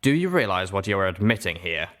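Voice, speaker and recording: A man voicing a stern, skeptical male pony security guard in a storybook, speaking in an official, deliberate way. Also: low voice, sing-song, fairly bright tone.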